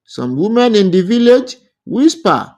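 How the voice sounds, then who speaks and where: A man narrating a scripted romantic folktale as a storyteller, in deliberate, measured short phrases. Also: high voice, very animated, darkish tone.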